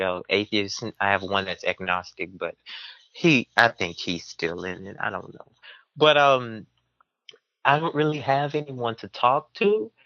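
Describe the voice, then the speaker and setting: A man's voice: on the low side, pushed and bright, no room sound. A man talking casually and earnestly as a podcast guest, effusive and heartfelt. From southern United States. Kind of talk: casual heartfelt interview talk. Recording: muffled, band-limited audio.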